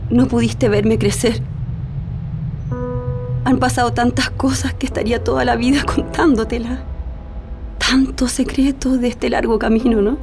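An actress playing a grieving, resolute daughter with a Chilean accent in a radio drama, speaking tenderly and intimately. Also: female voice, a little high, steady tone, a little slow, long pauses. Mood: tender grief, resolve. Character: grieving, resolute daughter bidding farewell to her executed father. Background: music.

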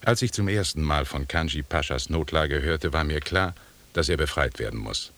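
Pompous english gentleman expedition boss in a german radio play, super deep voice, lecturing and formal. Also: moderate pace. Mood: stern, lecturing. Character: self-assured, pompous English expedition leader, a knighted gentleman. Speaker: actor in a radio drama. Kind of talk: formal radio drama dialogue.

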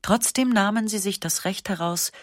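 A woman reading a first-person literary memoir for radio, calm and measured, with slow, matter-of-fact pauses. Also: mid-range voice, dry recording, clean studio sound. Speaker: narrator.

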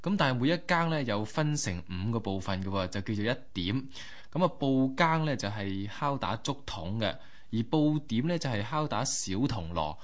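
A male co-host with a medium-pitched voice chats about history. His Hong Kong Cantonese is colloquial and sprinkled with exclamations. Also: expressive, normal speed, hardly any pauses. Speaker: radio co-host. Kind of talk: conversational history talk.

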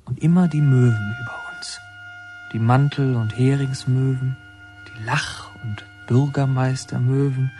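An actor playing a brooding young inmate who narrates his memories in a radio play, halting, reflective and poetic. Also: medium pitch, fairly even intonation, warm tone, soft background music, lightly acted.

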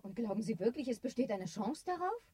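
An actress in a German radio drama playing a polite, probing local radio reporter. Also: female voice, a little high, very animated, fairly quick, mellow, slightly rough. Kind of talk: radio drama acting, interview delivery.

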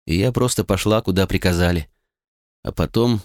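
A man's voice: low voice, moderate pace, a little mellow, dry recording, clean studio sound, close to an everyday voice. A man voicing a bitter, betrayed young noblewoman in an audiobook, talking in an earnest, rueful way. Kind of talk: audiobook character reading.

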